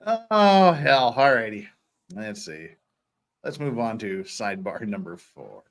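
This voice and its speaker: A man's voice: lowish voice, expressive, slow pace. A man hosting a casual comic commentary show, joking and sardonic as he chats. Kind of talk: casual chat and dramatic reading of trial transcripts.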